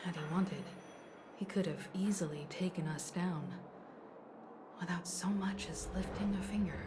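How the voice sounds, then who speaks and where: A woman's voice: fairly low pitch, normal speed, background noise, natural voice. An actress playing a poised, courteous and assured woman crew member in a video game, formal and articulate. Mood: calm, assured.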